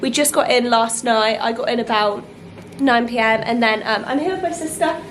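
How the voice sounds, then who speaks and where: Woman travel vlogger, stuffy-nosed from a cold, casual and chatty, slightly high voice, recorded outdoors with street noise. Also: moderate pace. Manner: chatty, with filler words and laughs. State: congested from a cold. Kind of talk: casual travel vlog chat.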